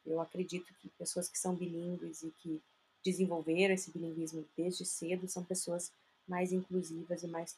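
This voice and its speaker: A woman's voice: on the low side, darkish tone, a little hiss or hum, low-quality recording. Woman explaining earnestly. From southern Brazil. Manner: earnest, explanatory, many "né?" tags. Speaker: guest, linguist and bilingual education specialist. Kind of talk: casual interview chat.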